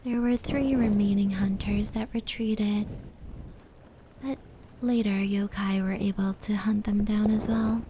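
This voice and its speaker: A woman acts out a gentle female yokai telling stories in an ASMR roleplay. Her delivery is very unhurried and soothing, soft and breathy, often slipping toward a whisper. Clear rain and brushing noise runs behind her. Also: mid-range voice, natural voice. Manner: unhurried, soothing, measured narration. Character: gentle female yokai storyteller, caring and protective companion. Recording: steady rain and brushing noise layered over. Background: indoor rain patter and fur brushing.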